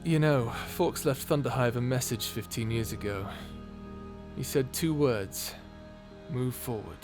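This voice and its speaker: A man voices a male space pirate captain in an intimate ASMR roleplay. He is flirty yet self-deprecating, hesitant and prone to nervous laughter. He speaks slowly at a middle pitch, with clear music behind him. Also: natural voice. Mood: earnest, soft.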